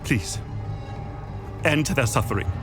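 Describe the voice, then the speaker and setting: An actor playing a guilt-ridden, bitter insider with a grave, urgent manner in a dramatic video game. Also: male voice, mid-range voice, expressive, takes it slowly, music in the background. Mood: pleading. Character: guilt-ridden, bitter male insider pleading to end suffering.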